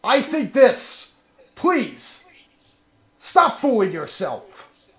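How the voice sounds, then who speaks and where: A man voicing an overdramatic ham actor in a grave, halting comedy reading with heavy pauses. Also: very high voice, wildly swooping pitch, a bit bright, echoey room, voice pushed up, exaggerated voice. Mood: grave, melodramatic. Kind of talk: mock-dramatic email reading.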